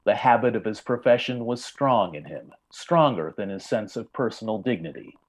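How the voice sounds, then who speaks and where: A man narrating a scripted tale in the style of an old time radio drama, with measured, grave storytelling. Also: fairly low pitch, expressive.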